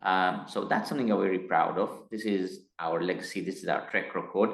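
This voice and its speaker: Man, middle-aged, from tanzania, very low voice, echoey room, hesitant then emphatic. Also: steady tone, creaky. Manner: measured, hesitant with frequent uh fillers, grows emphatic. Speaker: guest, company executive. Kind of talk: interview conversation. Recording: roomy echo.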